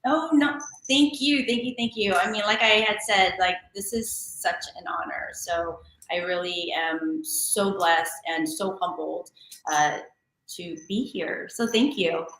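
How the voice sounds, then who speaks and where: A woman in her forties talks as an interview guest, chatty and easygoing, with frequent fillers and restarts. Her voice is bright and forward. She sounds like she is on a video call in an echoey room. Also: on the low side, a little slow. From San Francisco Bay Area, California. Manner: chatty and warm-hearted, many fillers and restarts. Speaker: guest entrepreneur and DJ. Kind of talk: casual interview chat.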